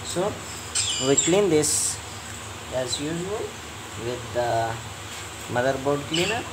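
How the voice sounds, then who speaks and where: A man giving a step-by-step hands-on repair tutorial as a technician, in halting, repetitive short phrases. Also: on the high side. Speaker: repair technician and channel host. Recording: clear steady hum of room noise.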